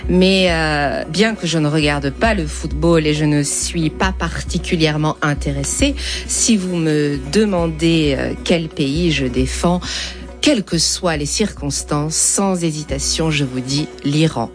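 Female interview guest, low register. Reflective, measured delivery with emphatic pauses. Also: soft background music. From born in Germany to Iranian and Kurdish exile parents. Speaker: interview guest, whirling dervish.

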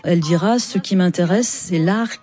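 A woman hosting a radio interview, presenting in a lively, chatty way with asides. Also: lowish voice, normal speed. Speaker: radio host.

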